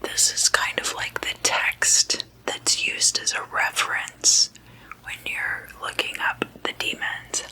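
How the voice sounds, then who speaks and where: A woman hosting whispered ASMR, speaking in a soft, breathy whisper in a casual, gossipy, deliberate way, like chatting at a sleepover. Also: poor audio. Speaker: ASMR host.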